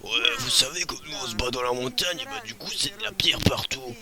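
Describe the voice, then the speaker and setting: A young man voicing a grumbling male soldier who swears about digging trenches in rock, in a low gruff voice. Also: brisk, over music, close to an everyday voice.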